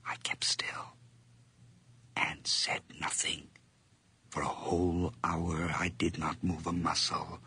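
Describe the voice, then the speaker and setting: An actor playing a sly, obsessive madman in a gothic radio drama, speaking theatrically and deliberately in a husky, breathy voice that often nears a whisper. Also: low voice, completely flat delivery, pushed and bright, heavily acted. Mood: stealthy, sinister. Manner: theatrical, deliberate, lingering on repeated words. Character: sly, obsessive, gloating madman confessing a murder plot.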